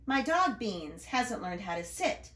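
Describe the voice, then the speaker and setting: A woman's voice: mid-range voice, big pitch swings, a little slow, a bit bright, echoey room. A woman voicing a frank, sensible young schoolgirl in a storybook, speaking in a plain, matter-of-fact way. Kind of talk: storybook read-aloud.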